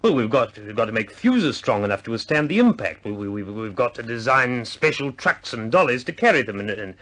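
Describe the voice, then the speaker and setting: A man's voice: medium pitch, sing-song, fairly quick, a bit bright. An actor playing an exasperated senior British official in a vintage radio drama, stammering and emphatic as he blusters.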